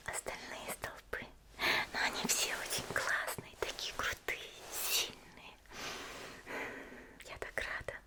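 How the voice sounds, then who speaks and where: A woman playing a cheerful, caring young female warrior in an ASMR spa roleplay, whispering gently and chattily without hurry. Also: natural voice. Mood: cheerful, chatty. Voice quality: breathy unvoiced whisper. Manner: gentle, unhurried, chatty, soft hesitations.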